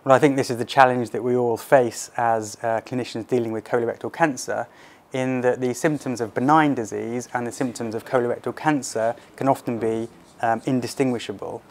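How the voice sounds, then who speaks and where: A British man in his thirties speaking as a consultant surgeon, explaining things to doctors in a measured, clinical interview manner. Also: mid-range voice, steady tone.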